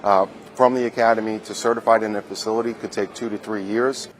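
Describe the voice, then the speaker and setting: A man with a fairly low voice explains things in an unscripted interview. There is faint noise and ambience behind him. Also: steady tone, fast. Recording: noisy interview, background ambience.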